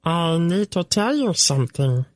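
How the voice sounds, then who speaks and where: A small furry male fenling creature, speaking in a high, piping chitter that sits far above an adult man's range. He talks in earnest, formal phrases, slowly and deliberately. The sound is dry and close. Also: big pitch swings, no echo. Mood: solemn. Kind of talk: audiobook character voice.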